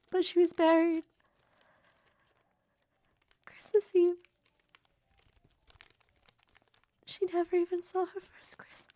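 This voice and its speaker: A woman's voice: very high-pitched, mellow, no room sound. An actress playing a devoted young Victorian mother, reading letters to her brother in an earnest, emotive way for a radio drama. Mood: grief-stricken.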